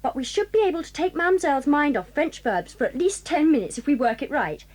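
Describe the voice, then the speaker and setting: An actress playing a mischievous English boarding-school girl, a ringleader with eager manner and crisp diction, in a children's audio drama. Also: high-pitched, sing-song, brisk, a little mellow. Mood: scheming.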